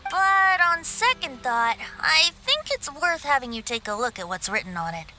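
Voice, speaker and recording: A woman's voice: high voice, sing-song, normal speed, low-quality recording. A woman voicing a boyish young male bard in a video game, breezy, vain and theatrical, with lilting, flowery phrasing. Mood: musing. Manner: lilting, flowery, self-satisfied. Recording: music behind the voice.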